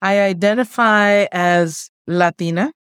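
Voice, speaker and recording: A woman whose first language is Spanish, a guest on an interview podcast, answering in a measured, thoughtful way. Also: medium pitch, smooth, dry recording, studio quality. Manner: measured, thoughtful, speaks in long considered phrases. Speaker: guest, diversity executive.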